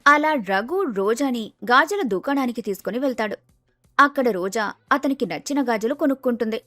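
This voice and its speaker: A woman's voice: a little high, lively intonation, normal speed, fairly bright tone, no echo, faint music behind. A woman reading scripted narration for a moral story as its storyteller.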